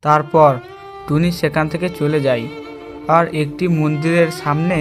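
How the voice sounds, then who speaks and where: A man's voice: fairly high pitch, little pitch movement, moderate pace, music in the background. A man reading scripted narration for a children's cartoon story.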